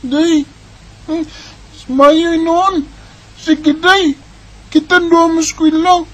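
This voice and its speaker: Woman doing a high-voiced gentle young boy, slow, persuasive, radio drama. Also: steady tone, noisy background, exaggerated voice. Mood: tender. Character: earnest young boy, caring older brother. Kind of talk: homemade radio drama acting.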